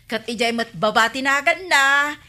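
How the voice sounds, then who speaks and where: A woman's voice: high-pitched, normal speed, pushed and bright. A woman acting a feisty village woman who fusses over debts, with an Ilocano accent, in a radio comedy-drama. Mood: indignant, scheming.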